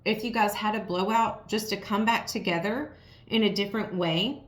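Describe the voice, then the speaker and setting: A woman with a raspy, worn voice giving a casual tarot reading, talking frankly and straight to the viewer. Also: medium pitch, fairly even intonation, moderate pace. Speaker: tarot reader. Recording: roomy echo.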